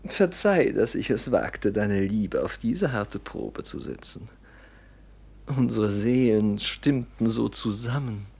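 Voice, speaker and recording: A man's voice: mid-range voice, gravelly, husky, exaggerated voice. An actor playing a scheming, honey-tongued younger nobleman in a theatrical, insinuating manner in a classic German radio drama. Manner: theatrical, insinuating, swinging from hushed to exclaiming.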